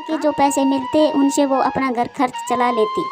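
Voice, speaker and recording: A woman's voice: high-pitched, fairly even intonation, moderate pace. A woman reading scripted narration for a cartoon moral story. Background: clear background music.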